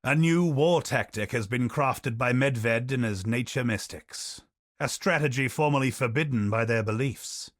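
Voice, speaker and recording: A man's voice: mid-range voice, dry recording, clean studio sound, natural voice. A man voicing a grim, weary knight commander. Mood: grim, solemn. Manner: solemn, measured, brooding. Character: grave, weary male knight commander keeping a journal. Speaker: voice actor reading in-game lore. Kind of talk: scripted game narration. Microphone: studio voice-over.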